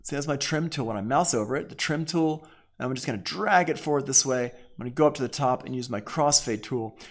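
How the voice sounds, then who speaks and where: Easygoing man giving a software tutorial. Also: mid-range voice, fairly quick. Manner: easygoing, informal, thinking aloud. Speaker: music production instructor. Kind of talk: casual screen-share software tutorial.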